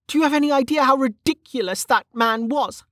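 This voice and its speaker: A woman's voice: sits high, fairly quick, fairly bright tone, no room sound, clean studio sound, voice pushed up. A woman voicing a bitter, weary, hot-tempered and foul-mouthed woman in an audiobook, snappish and scornful.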